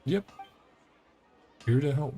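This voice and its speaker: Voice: mid-range voice, animated, slow. A streamer giving casual game commentary in short, offhand fragments, reading and paraphrasing dialogue along the way. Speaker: streamer playing a video game. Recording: game music behind the voice. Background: video game music.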